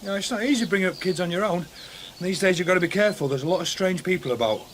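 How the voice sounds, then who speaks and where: An actor playing a friendly, slightly awkward village man who is kind to children, in a radio drama, with a hesitant, informal husky voice. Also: high voice, very fast, a little hiss or hum, lightly acted. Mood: kindly.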